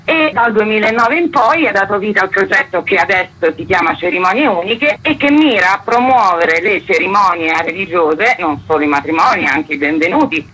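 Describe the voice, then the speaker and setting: Woman, guest author, formal explanatory radio talk, long sentences, forceful tone, husky grain. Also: fairly low pitch, poor audio.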